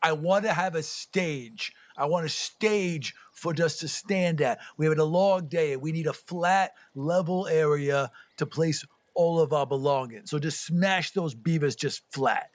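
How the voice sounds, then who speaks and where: A man's voice: a little high, a little slow, few pauses, dry recording, natural voice. A man playing a brash, greedy logging crew boss in a tabletop game, blunt and bossy. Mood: irritated, bossy.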